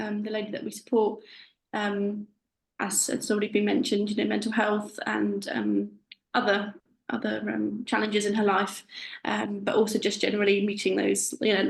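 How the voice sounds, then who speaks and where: Flat, gravelly woman, halting, roomy echo. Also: mid-range voice. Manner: halting, many fillers. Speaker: support worker. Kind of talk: sharing in a group discussion.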